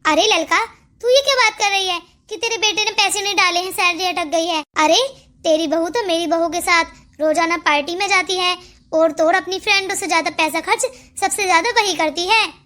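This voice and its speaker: Casting: woman as meddling older gossip for an animated family tale, insinuating, extremely high pitched and bright. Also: a little fast. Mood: gossipy, stirring. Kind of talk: Hindi cartoon moral-story acting.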